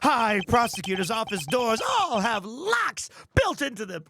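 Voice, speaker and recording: A man's voice: very high voice, very animated, a little slow, vocal fry, noisy background, heavily acted. A man voicing a melodramatic, grief-stricken, accusing prosecutor in a video game, theatrical and overwrought. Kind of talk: video game dub played live.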